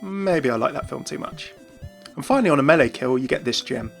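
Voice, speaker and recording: A man's voice: medium pitch, animated, a little fast, gravelly. A British man hosting a gaming video, giving an enthusiastic scripted explanation. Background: faint music.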